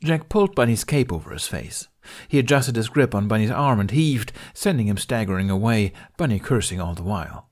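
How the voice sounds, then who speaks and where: A man's voice: on the low side, expressive, fairly quick, darkish tone. A man reading a scripted story aloud as its narrator. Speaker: fan fiction podcast narrator.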